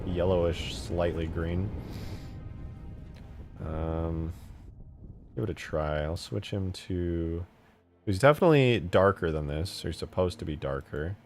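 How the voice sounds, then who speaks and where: A man live streaming while he works, casually thinking aloud and muttering questions to himself. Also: sits low. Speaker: game modding live streamer.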